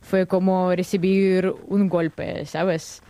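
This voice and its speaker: Woman, Russian accent, lowish voice, careful hesitant speech, interview guest. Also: level tone, slow, dark tone. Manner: careful, slightly hesitant, many fillers. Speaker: guest journalist. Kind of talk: interview answers.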